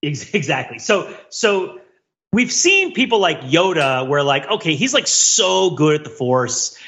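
Man, casual podcast discussion, emphatic, very lively intonation, bright tone, repeats himself for effect. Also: on the high side, measured pace, lo-fi sound. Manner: emphatic, repeats phrases for effect, acts out imagined quotes. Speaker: podcast host. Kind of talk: casual pop-culture discussion.

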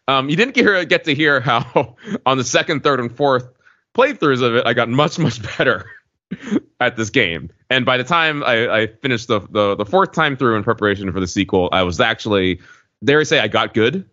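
A man's voice: mid-range voice, lively intonation, moderate pace, pushed and bright. A man, probably middle-aged, chatting casually as a podcast co-host, explaining things in a wordy, hesitant way with many asides. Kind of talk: casual podcast chat, explaining video game slang. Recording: muffled audio in an echoey room.

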